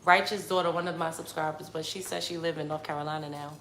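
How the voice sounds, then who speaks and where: A woman's voice: deep voice, fairly even intonation, fast talker, echoey room, a crowd in the background, poor audio. A woman with a New York accent hosting a casual livestream, chatting and reading out viewer comments. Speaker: livestream host.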